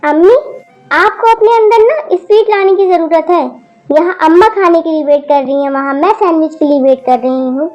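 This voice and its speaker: A woman's voice: very high voice, normal speed, highs cut off, faint music behind. A woman voicing a spoiled college-age daughter who snaps and whines rudely at her mother. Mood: whiny. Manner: whiny, snapping, rude.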